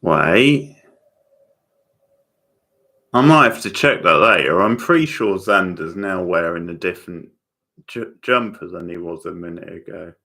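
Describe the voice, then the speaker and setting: A man's voice: deep voice, expressive, unhurried, gravelly, pauses often, pushed and bright. A man giving casual, off-the-cuff watchalong commentary as host of a reaction channel. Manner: off-the-cuff, sporadic remarks.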